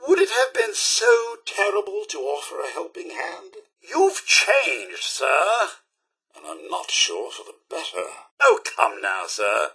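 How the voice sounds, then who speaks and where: An actor playing a fussy, loyal robot butler in a video game, with a British accent, a slightly raspy voice and a prim, polite, theatrical delivery. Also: male voice, on the high side, wildly swooping pitch, slow pace, sharp tone, full character voice. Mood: disapproving, hurt. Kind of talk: video game companion voice lines.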